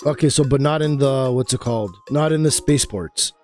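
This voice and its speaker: A man casually chatting while playing a space game, easily excited and talkative. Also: mid-range voice, brisk, faint music behind. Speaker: gaming channel host. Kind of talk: casual gameplay chat.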